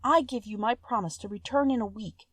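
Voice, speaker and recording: A woman's voice: a little high, a little fast, no room sound, close to an everyday voice. A woman voicing a kind, sincere young woman in a storybook, with earnest, polite, old-fashioned speech. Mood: tearful, pleading.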